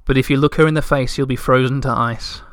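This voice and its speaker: A man voicing a calm, sensible female winter creature who gives quiet, serious warnings. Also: mid-range voice, quick pace, clean tone, no echo, studio quality, lightly acted.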